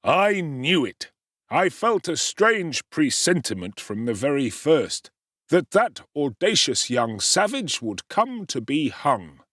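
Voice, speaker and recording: A man voicing a harsh, smug older gentleman who speaks in a pompous, condescending way. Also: fairly high pitch, big pitch swings, slow pace, no echo, pitched above the performer's own voice, full character voice. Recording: dry close studio sound.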